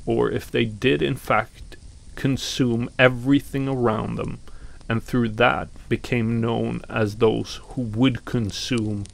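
Slow, gravelly-voiced Norwegian man. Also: mid-range voice, fairly bright tone. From Norway. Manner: slow and deliberate, with pauses and some fillers. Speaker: podcast host. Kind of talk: reflective commentary on mythology.

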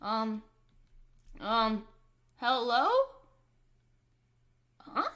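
A woman's voice: fairly high pitch, very slow, bright, forceful tone. A woman doing in-character roleplay commentary on a video game, hesitant and stammering as she talks.

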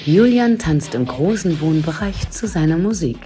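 A woman's voice: low-pitched, animated, moderate pace, warm tone, faint music behind. A woman narrating a scripted audio story as its storyteller.

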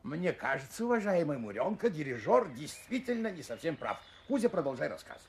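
A man's voice: a little high, big pitch swings, brisk. A man playing a polite, curious host who prompts the tale in a children's audio story, formal and courteous. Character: polite, curious male interviewer directing the tale.

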